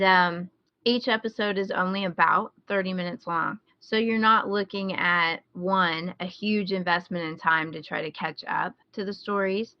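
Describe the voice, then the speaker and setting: A woman's voice: fairly low pitch, no room sound, lo-fi sound. A woman with an American accent chatting casually as a podcast co-host, recommending things she enjoys. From rural Midwest United States.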